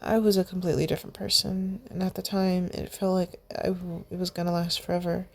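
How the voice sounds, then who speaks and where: A woman voicing a kind, gentle young man in a mask who talks in a soft, reassuring way, in a storybook reading. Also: fairly low pitch, little pitch movement, a little fast, vocal fry. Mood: earnest.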